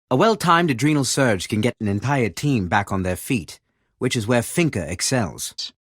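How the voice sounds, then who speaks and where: A man playing a measured, articulate special-operations director in a video game, giving formal analytical assessments of operatives. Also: medium pitch, fairly bright tone, no room sound, clean studio sound. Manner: formal, erudite, measured delivery. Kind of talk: scripted video game voice acting, analytical briefing.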